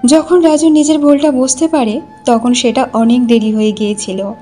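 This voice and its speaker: A woman's voice: high-pitched, steady tone, normal speed, dark tone, faint music behind. A woman narrating a scripted cartoon story.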